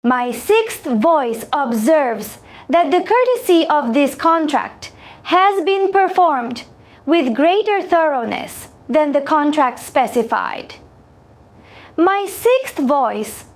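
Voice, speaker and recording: Female actor as alien contract broker, high voice, slow delivery, formal, ceremonious, inscrutable, sci-fi audio story. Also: full character voice. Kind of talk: scripted science fiction audio story.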